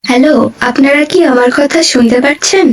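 A woman's voice: high voice, a little fast, a little hiss or hum. A young woman with a Bangladeshi accent speaking casually in short clips, politely pleading with people as she makes requests. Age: young adult. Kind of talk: short casual prank voice clips asking game players for money. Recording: background music under the voice. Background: music.

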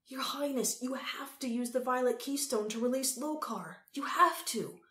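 A woman's voice: mid-range voice, expressive, a little slow, fairly bright tone, roomy sound. A woman voicing an earnest, desperate boy in an audiobook story, with an urgent, pleading manner.

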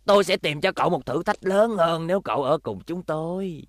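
A man's voice: fairly high pitch, animated, fairly quick, no echo, clean studio sound, close to an everyday voice. A man dubbing a scheming middle-aged gang boss in a cartoon, talking in an oily, wheedling way.

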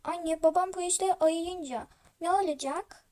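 A small, anxious child in a toy roleplay story, played by a woman. The voice is very high and whiny, full of questions and tender concern. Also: measured pace, warm tone. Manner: whining, questioning. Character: anxious, whiny young child, sensitive about animals.

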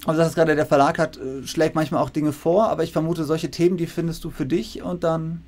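A man's voice: fairly high pitch, level tone, fast talker, a little mellow. A middle-aged man hosting an informal interview on a video call, circling into his questions and rephrasing as he goes. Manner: discursive, self-correcting. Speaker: interviewer, university lecturer. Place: indoor room with bookshelves.

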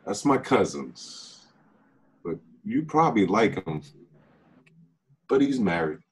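Man playing a deep, husky, slow-talking charmer. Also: big pitch swings, full character voice, poor audio. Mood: teasing, unapologetic. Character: smooth-talking man running an online dating deception. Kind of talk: actor reading a script at a table read. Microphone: video call.

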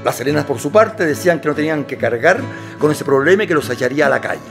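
The storyteller of a comic radio drama. His voice is fairly high for a man, narrating wryly in scripted style over clearly heard guitar music. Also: animated, quick pace. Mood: wry storytelling. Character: storyteller narrator of a wry domestic comedy.

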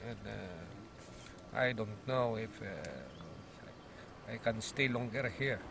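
Gravelly older Filipino man, halting. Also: lowish voice, expressive. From Philippines. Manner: hesitant, halting. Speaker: captive seaman. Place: outdoors among bushes. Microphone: camera a short distance away. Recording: noisy outdoor camcorder.

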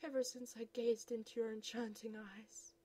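A woman's voice: a little high, flat delivery, moderate pace, warm tone, a little hiss or hum, lightly acted. A woman voicing a pompous, lovesick young man who gushes theatrically over his date. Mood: smitten. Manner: gushing, theatrical.

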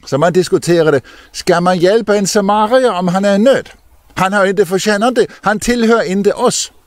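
An older man, a deacon, telling a Bible story to children outdoors in an expressive, lively way. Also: sits high, dry recording. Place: outdoors in an open field.

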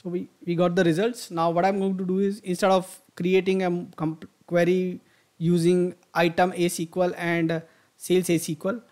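A man narrating a screen-recorded software tutorial, thinking aloud with frequent fillers and restarts as he clicks through steps. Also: sits high, level tone, normal speed. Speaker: software instructor.

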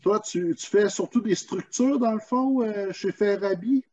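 A man with a Quebec French accent hosting a casual video call interview, asking halting questions with lots of fillers. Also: high voice, warm tone. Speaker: host. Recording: muffled lo-fi call audio.

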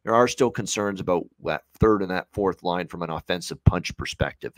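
A man's voice: deep voice, a little fast, dry recording. A Canadian man in his thirties giving conversational sports betting analysis in a discursive way, repeating phrases for emphasis. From Hamilton area, Ontario, Canada. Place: small home room on a video call.

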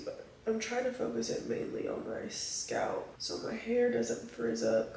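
A woman's voice: medium pitch, vocal fry, slight background noise. A young woman vlogging casually to camera, chatty and slangy, a little nasal and congested from allergies. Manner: chatty, animated, slangy asides to viewers. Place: indoors at home. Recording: roomy echo, a bit off-mic.

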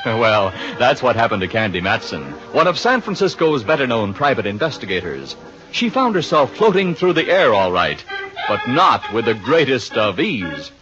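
Animated 1950s male radio announcer. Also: mid-range voice, moderate pace. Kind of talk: 1950s scripted radio drama introduction. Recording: muffled 1950s radio recording. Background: organ music.

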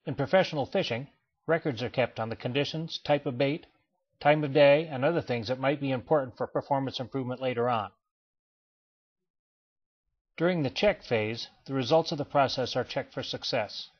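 A man's voice: medium pitch, breathy edge. Man narrating a slideshow, dull lo-fi sound. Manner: measured, even explanatory delivery. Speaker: presenter of a training slideshow. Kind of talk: scripted voice-over narration. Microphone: voice-over, not recorded in pictured place. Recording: dull lo-fi voice-over.

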